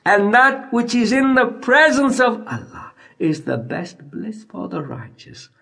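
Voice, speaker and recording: A man reading scripture as an audiobook narrator in a solemn, declamatory style, in measured phrases broken by frequent pauses. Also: sits high, expressive, creaky, bright, forceful tone.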